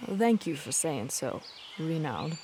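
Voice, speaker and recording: An actress playing a dignified, authoritative female elf general in a fantasy audio drama, speaking in measured, formal phrases. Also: low voice, very animated. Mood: gracious. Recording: noisy outdoor ambience with birds.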